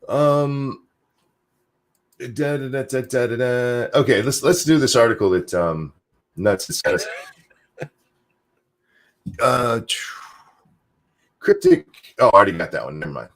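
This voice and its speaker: A man hosting a podcast, chatting casually and reading news articles aloud with a jokey, animated delivery. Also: mid-range voice, a little slow, long pauses. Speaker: podcast host.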